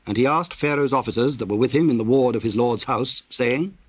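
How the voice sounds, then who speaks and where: A man narrating scripture in a solemn, measured, formal way, reading from a script. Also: mid-range voice, breathy edge.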